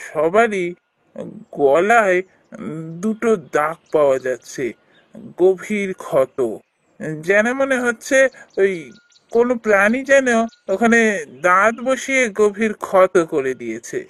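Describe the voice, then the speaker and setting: A man playing a deferential local police officer in an animated ghost story, with a halting, dramatic delivery. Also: high voice, no room sound, exaggerated voice. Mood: grave, dramatic.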